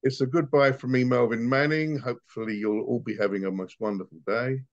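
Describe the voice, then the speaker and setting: A man's voice: medium pitch, steady tone, a little fast, no echo. A middle-aged man co-hosts a podcast on a video call, teasing the others and often restarting his sentences. The call audio is dull and lo-fi, and completely dry. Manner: stumbling, teasing banter. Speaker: podcast co-host. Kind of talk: casual podcast chat.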